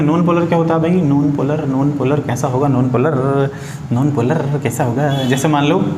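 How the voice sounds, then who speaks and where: A man's voice: a little high, darkish tone. A man teaching an informal lesson to students, repeating phrases and asking them rhetorical questions. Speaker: chemistry teacher. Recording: echoey room with faint background noise.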